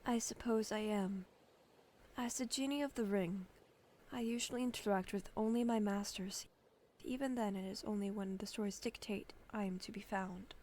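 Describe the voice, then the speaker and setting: A woman's voice: mid-range voice, normal speed, mellow, slight background noise, natural voice. A woman playing a polite, deferential, wistful young female genie in a cartoon comic dub, with formal, courteous phrasing. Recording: music behind the voice. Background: music.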